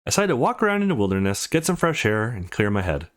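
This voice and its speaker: A man's voice: lowish voice, sing-song, fast talker. A man voicing an earnest, troubled teenage boy in a visual novel, serious and insistent as he explains himself. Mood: defensive. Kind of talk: visual novel character reading.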